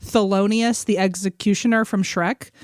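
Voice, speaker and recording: A woman's voice: mid-range voice, fairly even intonation, normal speed, dry recording, clean studio sound. A woman chatting casually as a podcast guest, candid and explanatory, with the odd swear word.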